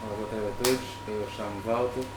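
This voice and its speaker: A man from Angola, a doctor speaking calmly as a guest. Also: lowish voice, fast talker. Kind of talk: casual interview chat. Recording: echoey room, distant mic, noisy.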